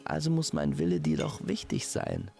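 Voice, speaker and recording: A man's voice: very deep voice, huge pitch swings, normal speed, creaky, dark tone, soft background music, heavily acted. A man voicing a headstrong, haughty young princess in a storybook audio tale. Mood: defiant. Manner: haughty, strong-willed.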